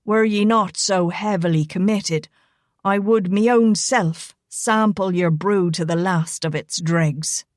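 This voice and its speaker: A woman's voice: on the low side, slow pace, dry recording. Woman voicing a big Irishman, thick Irish brogue, teasing and charming, dry close read. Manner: playful thick brogue, lilting.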